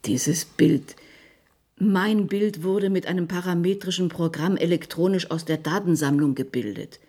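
An actress playing a solemn, detached figure in a German radio play. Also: female voice, on the low side, a little slow, mellow. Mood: solemn, questioning.

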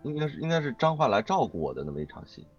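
A man answering interview questions casually as a voice actor guest, haltingly and with repeats as he thinks. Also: mid-range voice, very animated. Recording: dull online call audio, music behind. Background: music.

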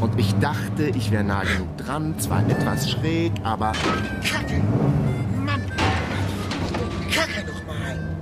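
A man's voice: medium pitch, natural voice. An actor playing a hapless, wry male investigator who tells his own story in a radio crime drama. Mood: cursing, flustered. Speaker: actor playing the lead and narrator. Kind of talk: radio crime drama, first-person narration.

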